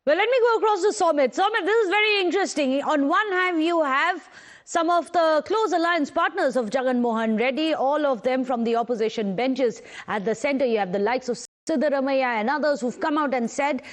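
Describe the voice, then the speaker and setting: A woman, anchoring live TV news in a brisk, animated way. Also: sits high. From India.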